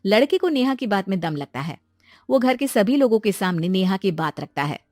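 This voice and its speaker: A woman reading scripted narration for an animated moral story. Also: mid-range voice, moderate pace, no echo, clean studio sound. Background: music.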